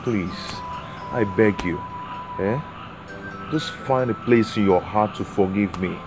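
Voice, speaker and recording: Brief: man as penitent Nigerian man, lowish voice, stammering pleas, loud score under. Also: expressive, normal speed, over music, natural voice. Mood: pleading. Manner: pleading, stammering. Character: remorseful young man begging forgiveness. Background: loud film score.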